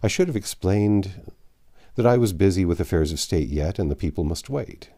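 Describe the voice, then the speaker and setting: An older man narrates a classic novel aloud. His voice is low and mellow, with lively, swooping intonation. He phrases it like a storyteller, pausing for dramatic effect. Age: 50s or 60s. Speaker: audiobook narrator. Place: small home library, indoors.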